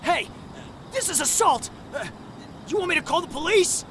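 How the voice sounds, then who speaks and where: An actor playing an anxious, cowardly underling in a video game cutscene, pleading and panicky. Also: male voice, very high-pitched, animated, moderate pace, noisy background. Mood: panicked. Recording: music behind the voice.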